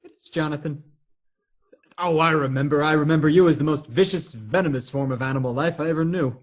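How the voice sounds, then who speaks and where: An actor playing an indignant, sardonic brother in a radio play, scornful and emphatic. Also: male voice, fairly high pitch, a little fast, natural voice. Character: indignant, sardonic brother confronting a hated sibling.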